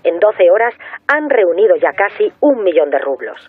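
An actress playing a formal radio news announcer reading a scripted bulletin through a radio filter. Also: female voice, medium pitch, very animated, normal speed, breathy edge. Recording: tinny band-limited radio broadcast.

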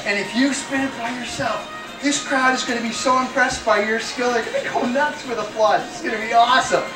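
A man performing a comedy juggling act on stage, teasing and coaching an audience volunteer with energetic showmanship. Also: extremely high pitch, a little fast, far from the mic. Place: ship theatre stage before a live audience. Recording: echoey live show taped from afar. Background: loud music and a crowd laughing.

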